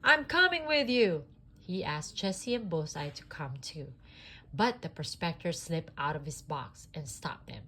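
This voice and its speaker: A sniffly woman reading a children's storybook aloud in an expressive, homemade style. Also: deep voice, measured pace, a little hiss or hum.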